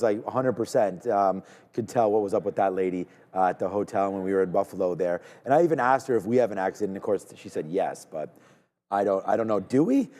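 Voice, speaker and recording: A man from Canada hosting a live trading stream, talking in energetic, rambling casual banter, repeating words and shouting out viewers. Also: on the low side, big-room sound.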